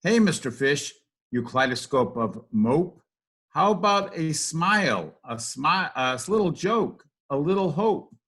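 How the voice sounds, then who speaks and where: A man's voice: on the high side, lively intonation, a little slow, fairly bright tone, breathy edge, voice pushed up, full character voice. A man voicing a blunt sea creature from a picture book, scolding a friend in sing-song rhyme. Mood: blunt, nagging. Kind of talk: read-aloud picture book.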